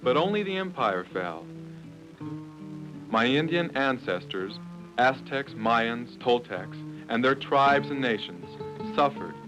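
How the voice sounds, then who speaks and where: A man's voice: medium pitch, animated, slow, poor audio. A man speaking earnest, declamatory first-person narration for a documentary. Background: guitar music.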